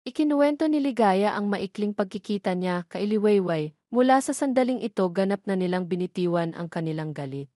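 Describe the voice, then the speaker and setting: A woman's voice: medium pitch, expressive, a little fast, warm tone, dry recording, clean studio sound. A woman reading scripted narration of a romantic story, as a storyteller.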